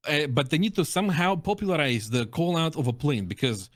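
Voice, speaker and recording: A man's voice: fairly high pitch, expressive, fairly quick, no room sound, clean studio sound. A man who is a native Russian speaker, reviewing game voice lines in a casual, chatty and jokey reaction conversation.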